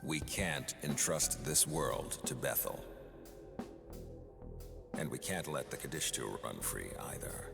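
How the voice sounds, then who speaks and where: A commanding older man of authority in a game cutscene, with an extremely deep, gravelly voice. He speaks gravely and formally, with firm resolve, under loud music. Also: animated, slow pace, long pauses, heavily acted. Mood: grave, resolute. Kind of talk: video game cutscene voice acting.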